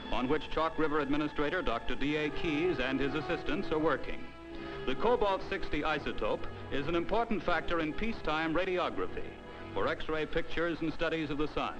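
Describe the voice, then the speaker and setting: A man's voice: fairly high pitch, expressive, moderate pace. A man reading scripted 1950s newsreel narration in an emphatic, declamatory way. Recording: dull old newsreel film soundtrack. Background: music.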